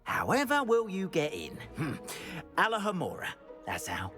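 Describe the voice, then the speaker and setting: An actor playing an anxious, theatrical school caretaker in a fantasy game, fussy and hesitant, with old-fashioned wordy speech. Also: male voice, on the high side, huge pitch swings, moderate pace, fairly bright tone, soft background music. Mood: flustered, eager. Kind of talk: video game character dialogue.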